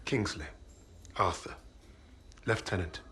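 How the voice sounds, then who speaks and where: An actor playing a defiant army lieutenant who narrates calmly in a clipped way. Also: male voice, low voice, takes it slowly, slightly rough, heavily acted. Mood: grim. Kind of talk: cutscene narration. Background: music.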